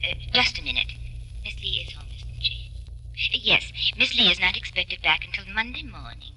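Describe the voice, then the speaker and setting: An actress playing a formal, polite school receptionist heard over a telephone in a 1940s radio drama. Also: female voice, lowish voice, sing-song, moderate pace, bright, forceful tone, slight background noise, exaggerated voice, poor audio. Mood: formal, helpful. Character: proper female school switchboard receptionist.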